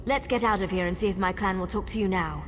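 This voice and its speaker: A woman's voice: mid-range voice, fast, noisy background, close to an everyday voice. A woman voicing a determined, practical wood elf guide in a video game, purposeful and instructive. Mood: urgent.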